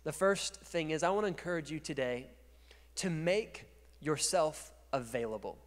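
A man in his thirties preaching a sermon as pastor on a church stage, speaking into a handheld mic and swinging from quiet to loud. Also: on the high side, animated, normal speed. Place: large dark church stage. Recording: long reverb of a large church hall.

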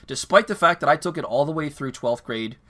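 A Canadian man co-hosting a podcast, chatting casually, ranting with animated, self-mocking energy. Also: fairly high pitch, brisk. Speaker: podcast co-host. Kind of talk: casual chat. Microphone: video call.

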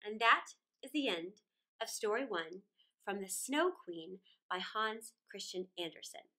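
A middle-aged woman reading a children's story aloud in a theatrical, deliberate way, drawing out words with dramatic pauses. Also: medium pitch, expressive, bright, forceful tone. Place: small indoor room against a plain wall.

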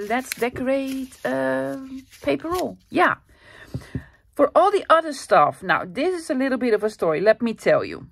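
A middle-aged woman with a Dutch accent talks her viewers through her craft supplies in a relaxed, rambling way. She fills her sentences with little fillers and soft laughs. Her voice sits at an ordinary middle pitch. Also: gravelly. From Netherlands. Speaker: craft channel host. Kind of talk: casual craft-with-me chat.

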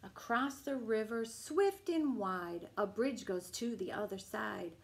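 A middle-aged woman reading a picture book aloud as a children's storytime host, with a deliberate, singsong delivery. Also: medium pitch, lively intonation. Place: small room at home, indoors. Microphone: camera a few feet away.

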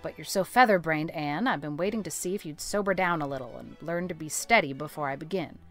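A stern older storybook spinster, played by a woman, with a lowish voice. She is brusque, practical and quick to scold, with faint music beneath. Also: fairly bright tone. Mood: brusque, firm. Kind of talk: audiobook character acting.

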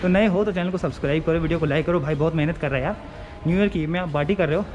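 A young man talks to a handheld camera at arm's length, chatty and easygoing, slipping English phrases into his Hindi. His voice sits a little higher than most men's. Clear station ambience and train noise run behind him. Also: very fast, darkish tone, lo-fi sound. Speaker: travel vlogger. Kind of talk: casual vlog narration to camera. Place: railway platform and train coach, in and out of doors. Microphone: handheld action camera at arm's length.

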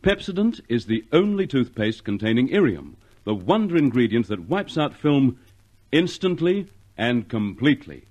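Animated 1950s male radio announcer. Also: medium pitch, moderate pace, highs cut off. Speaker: commercial and credits announcer.

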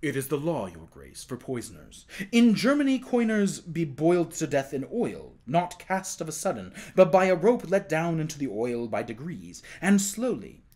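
A courtly nobleman from a historical storybook, as a male reader voices him. He is grave and measured in manner, with widely varying pitch as he counsels his king. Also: very animated, darkish tone. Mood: grave explaining. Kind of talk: dramatized audiobook reading.

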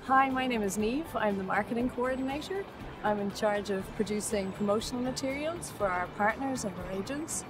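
A woman's voice: a little high, fairly quick. A woman working as a marketing coordinator, speaking in an upbeat, scripted way for a promotional video. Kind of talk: promotional talking-head. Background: background music.

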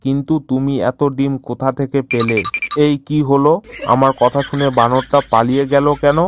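A man voicing a grumbling, easily outraged tiger who farms eggs in an animated cartoon. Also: medium pitch, moderate pace, over music, natural voice. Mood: puzzled, suspicious. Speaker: egg seller in an animated fable. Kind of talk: cartoon voice acting.

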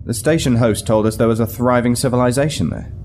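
A man's voice: medium pitch, quick pace, a little mellow, faint music behind, natural voice. A man playing a serious, questioning starship captain, direct and businesslike, in a sci-fi audio drama. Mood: concerned.

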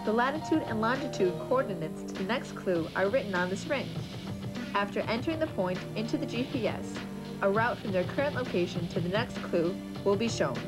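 Woman presenting over loud music. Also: medium pitch, animated, a little fast. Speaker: television show host. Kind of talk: scripted presenting and narration.

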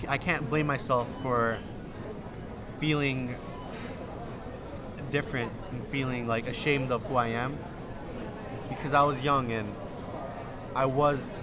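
A young adult man with an American accent, telling a personal story in a casual, halting, reflective way. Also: medium pitch, fairly even intonation, music in the background. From Indonesia and Illinois, United States. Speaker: documentary interviewee. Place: busy food hall, indoors. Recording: loud busy restaurant crowd noise. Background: loud crowd chatter.

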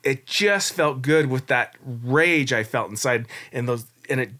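A man's voice: medium pitch, measured pace, sharp tone. A man in his forties, a guest on a podcast, telling his story in a candid, reflective, rambling way. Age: 40s. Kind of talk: casual interview storytelling.

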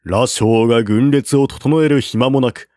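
A man reading a classical war novel aloud as narrator, in a slow, measured way at a close mic. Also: medium pitch, fairly even intonation, dark tone, dry recording. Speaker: audiobook narrator.

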